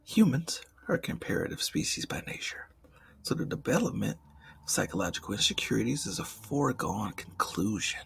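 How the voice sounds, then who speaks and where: Man acting as ancient demon, heavy gravelly fry, half-whispered, theatrical, jaded, condescending, ASMR roleplay, faint background noise. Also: fairly low pitch, fairly bright tone, heavily acted. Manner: drawn-out, theatrical, formal wording, half-whispered phrases.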